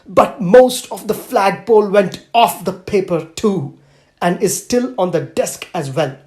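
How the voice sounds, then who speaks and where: A man voicing an aggrieved, stern female schoolteacher in an audiobook, complaining with deliberate emphasis. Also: sits high, darkish tone. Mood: emphatic, stern. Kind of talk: audiobook character voice.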